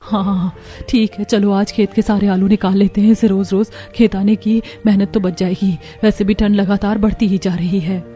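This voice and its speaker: A woman's voice: medium pitch, level tone, moderate pace, hardly any pauses, dark tone, faint music behind, lightly acted. A woman voicing a dutiful, worried village wife and mother, earnest and pleading, in a moral story. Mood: practical.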